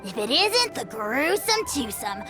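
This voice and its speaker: A small, arrogant dragon overlord from a video game declaims with theatrical grandeur and a short temper. The delivery is high and slow, savoring each phrase, with music playing behind it. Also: female voice, big pitch swings. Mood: smug, haughty. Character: tiny pompous self-proclaimed dragon lord, arrogant, quick-tempered, insult-hurling.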